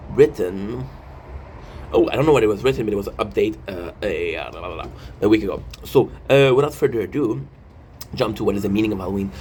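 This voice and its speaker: A man in his thirties with a mid-pitched voice hosts a casual, rambling vlog. He is playful and often interrupts himself with jokey asides. There is clear noise behind his voice. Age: 30s. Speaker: video host.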